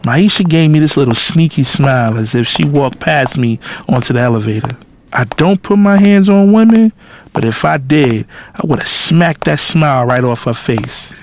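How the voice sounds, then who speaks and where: A man's voice: on the high side, normal speed, a little hiss or hum, natural voice. A man playing a cocky, lovestruck adult son, telling his own story candidly and intimately in an audio drama. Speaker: narrator and lead character. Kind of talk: first-person audio drama narration and dialogue.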